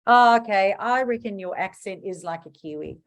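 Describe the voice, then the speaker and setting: A woman's voice: medium pitch, moderate pace. A woman with a South African accent leading an informal class as a business coach, stressing key points emphatically. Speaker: business coach hosting a book club class. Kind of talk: informal online class talk.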